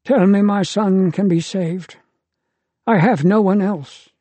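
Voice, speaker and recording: A fervent old father figure speaks in a raised, impassioned voice, as a man puts him on. His tone carries a preacher's urgency, with a husky edge and a close, dry storybook sound. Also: sits high, steady tone, slow, warm tone, no room sound, voice pushed up. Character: elderly male father, pleading and fervent.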